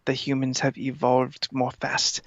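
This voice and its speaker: A performer voicing a vain, scheming talking cat with a halting, pompous manner on a comedy podcast. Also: mid-range voice, completely flat delivery, a bit bright, no room sound, highs cut off. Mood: coolly scheming. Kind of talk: improvised comedy podcast interview in character.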